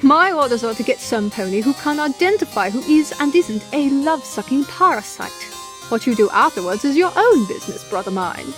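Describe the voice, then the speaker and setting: A woman plays an aloof pony official in a cartoon-based audio drama. The voice is fairly high, dry and formal, all business, with faint music underneath. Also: big pitch swings, a little fast. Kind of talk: scripted audio drama acting.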